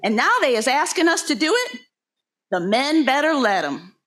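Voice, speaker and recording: A woman acts out a fiery woman preacher giving a historic speech. The voice sits high and swings widely in pitch. The delivery is folksy and plain-spoken, with emphatic rhetorical refrains. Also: big pitch swings, moderate pace, fairly bright tone. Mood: emphatic, teasing. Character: Sojourner Truth, fiery plain-spoken formerly enslaved woman preacher orating. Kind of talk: dramatic reading of a historic speech.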